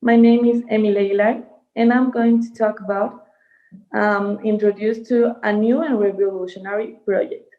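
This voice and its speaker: A female moderator reading a prepared introduction in a formal, slightly halting manner over a video call. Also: mid-range voice, steady tone, narrow, tinny audio. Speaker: webinar moderator.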